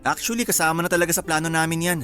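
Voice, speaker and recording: An actor with a Filipino accent playing an easygoing, lightly teasing young boyfriend in a radio drama. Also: male voice, a little high, rapid-fire, a bit bright, faint music behind, close to an everyday voice. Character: easygoing, devoted young boyfriend who teases his friend.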